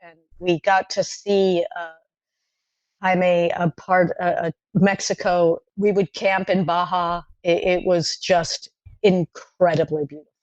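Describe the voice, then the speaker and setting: A middle-aged woman whose first language is French, recalling her childhood in a podcast interview, talking in a thoughtful, halting way with many fillers and restarts. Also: on the low side, fairly even intonation, a little mellow, no echo, lo-fi sound. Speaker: playwright guest.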